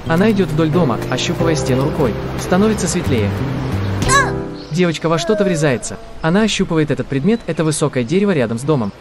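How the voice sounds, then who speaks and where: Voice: mid-range voice, lively intonation, quick pace. A narrator reading scripted audio description for a cartoon. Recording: music under the voice. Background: prominent soundtrack music.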